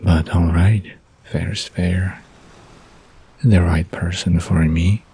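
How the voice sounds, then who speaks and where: A man's voice: deep voice, steady tone, takes it slowly, mellow, slightly rough, heavily acted. A man playing an amused, teasing young man with a laid-back manner in a romantic audio drama.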